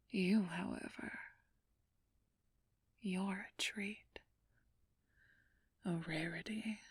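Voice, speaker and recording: A woman playing a flirtatious, haughty ancient vampire thief in a whispered ASMR roleplay, teasing and condescending with a drawn-out purr. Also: lowish voice, no echo, studio quality, heavily acted. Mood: teasing, seductive. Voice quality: breathy whisper.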